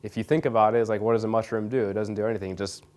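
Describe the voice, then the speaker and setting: A young man from Nebraska answering interview questions in a relaxed, rambling way, full of fillers and restarts. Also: lowish voice, very fast. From Nebraska, USA. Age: young adult. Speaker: interview guest. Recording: radio studio interview.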